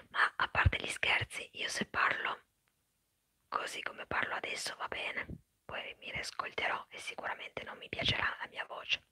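A woman whispering quickly and chattily in an ASMR live stream, answering viewers' comments. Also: bright, forceful tone, no room sound. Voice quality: breathy whisper. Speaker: ASMR creator.

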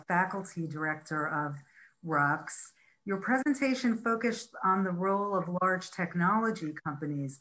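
A woman moderating an online talk, reading out an audience question at a measured pace. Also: fairly low pitch, a bit bright, low-quality recording.

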